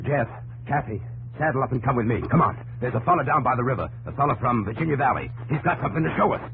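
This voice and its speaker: A fast, animated American frontier neighbor , acted by a man, on a noisy vintage radio recording. He sounds folksy and urgent, rallying people along. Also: mid-range voice, natural voice. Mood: urgent, excited. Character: urgent, excited male frontier settler neighbor. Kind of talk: 1950s radio drama acting.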